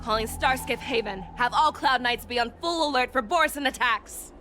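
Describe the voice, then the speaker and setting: A woman's voice: a little high, moderate pace, music in the background, lightly acted. Woman acting a commanding, resolute female general. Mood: rousing command. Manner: authoritative, resolute. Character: bold commanding woman general rallying her knights. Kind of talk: anime-style game voice acting.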